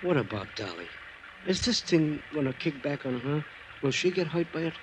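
A man plays an eager American small-time crook in an old radio serial, over plainly noisy sound. His pitch runs high for a man and swings freely, with plain-spoken, streetwise loyalty. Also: animated, normal speed, close to an everyday voice. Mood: subdued, worried. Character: loyal, earnest small-time ex-convict sidekick, male. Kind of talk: 1950s radio drama acting.

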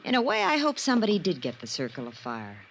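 Bitter, animated radio drama woman. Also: mid-range voice, fast talker, slight background noise. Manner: arch, sardonic, theatrical. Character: bored, bitter, provocative woman with brittle bright front. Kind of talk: old time radio melodrama acting. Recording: vintage radio recording, faint music.

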